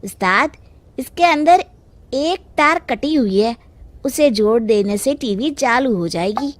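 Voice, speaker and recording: A woman's voice: fairly high pitch, animated, slow, a little hiss or hum. A woman voicing an earnest young male repair apprentice in a children's story, deferential as he explains. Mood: earnest, helpful.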